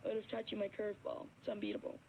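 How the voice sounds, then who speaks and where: A woman's voice: medium pitch, sing-song, very fast, dark tone. A woman voicing an earnest young radio call-in caller, telling a story hesitantly over the phone. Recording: tinny phone line with faint noise.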